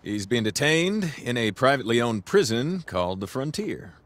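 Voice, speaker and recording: A man's voice: mid-range voice, very animated, normal speed, a bit bright. A man playing a cocky, easygoing young crime boss in a video game cutscene, talking casually with wry, profane ease. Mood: confident, scheming.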